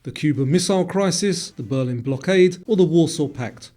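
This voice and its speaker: A man's voice: fairly high pitch, expressive, moderate pace, dark tone. A man presenting a quiz as scripted narration, reading out questions and answers. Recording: music bed under the voice. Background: music.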